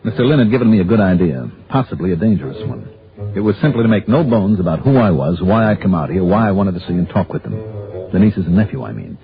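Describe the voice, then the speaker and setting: Wry american insurance investigator, slightly low quick clipped talk, cool and skeptical, old radio drama. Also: male voice, big pitch swings, creaky, full character voice. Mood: wry, matter-of-fact. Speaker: lead detective and narrator. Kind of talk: 1950s radio drama narration and dialogue. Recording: tinny, band-limited old radio recording with music.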